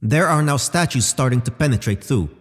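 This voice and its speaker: A man voicing a calm, obliging artificial intelligence in a sci-fi audio drama, speaking formally and precisely. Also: mid-range voice, close to an everyday voice. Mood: measured, formal. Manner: measured, formal, precise reporting. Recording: long reverberant tail.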